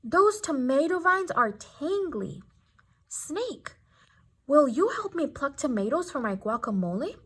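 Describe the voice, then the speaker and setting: A woman's voice: high-pitched, very animated, unhurried. A woman voicing a plucky, hard-working little red hen from a storybook, exclamatory and clucking. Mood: excited, pleading.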